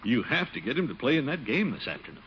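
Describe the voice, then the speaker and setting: A man's voice: mid-range voice, sing-song, fast talker. An actor playing a flustered, blustery middle-aged uncle and committee chairman in a theatrical old radio comedy. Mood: scheming. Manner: theatrical, exclamatory, chuckling. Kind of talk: 1940s-50s radio situation comedy acting. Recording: noisy, muffled old radio recording.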